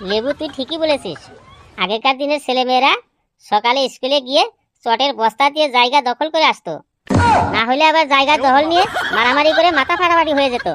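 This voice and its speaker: A woman's voice: high voice, fast talker, fairly bright tone, slight background noise. A woman voicing cheeky schoolboys in a comedy cartoon, complaining and pleading in an exaggerated way. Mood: curious, teasing. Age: child figure. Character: cheeky village schoolboys grumbling and questioning teacher.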